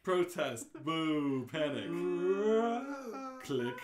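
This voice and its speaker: A man's voice: fairly high pitch, extremely slow, reverberant. A man casually reading out a radio play's stage directions live, with his own asides.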